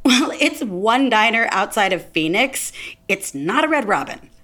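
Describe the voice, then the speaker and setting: A woman's voice: fairly high pitch, big pitch swings, a little slow, a bit bright. An actress playing a sarcastic, exasperated woman applying at a diner, outspoken, in a naturalistic audio drama. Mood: dry. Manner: sardonic, outspoken. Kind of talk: naturalistic audio fiction acting.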